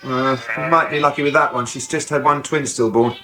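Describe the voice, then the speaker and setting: An actor playing an easygoing, chatty, matter-of-fact village farmer with an English accent in a radio soap. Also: male voice, mid-range voice, steady tone, a little fast, natural voice. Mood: practical.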